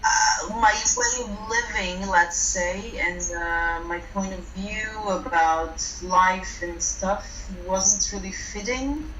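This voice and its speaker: Echoey, distant, bright-voiced woman from Turkey. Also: medium pitch. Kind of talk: candid personal chat. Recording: echoey, distant mic, faint noise.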